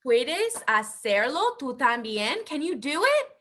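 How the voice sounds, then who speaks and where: Bright, high-pitched upbeat woman teacher. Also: big pitch swings, slow pace. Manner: upbeat, encouraging. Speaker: bilingual language teacher. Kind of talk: interactive story time for children.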